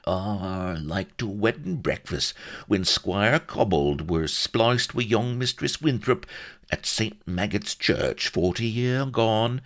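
A man's voice: fairly low pitch, takes it slowly, a bit bright, pitched above the performer's own voice. A man voicing a shifty, whimpering old rustic castaway who rambles in thick West Country dialect. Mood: gleeful reminiscing. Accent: English West Country. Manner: rambling, wheedling, dialect-heavy.

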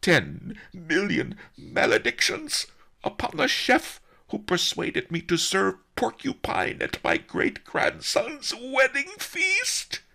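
A cunning old sage from a storybook. The voice is pitched high and swings wildly, with a huffy, indignant, theatrical delivery and a husky edge. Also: male voice, sing-song, slow pace, sharp tone, exaggerated voice. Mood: wailing grief. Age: older. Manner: theatrical, huffy, sobbing outbursts.

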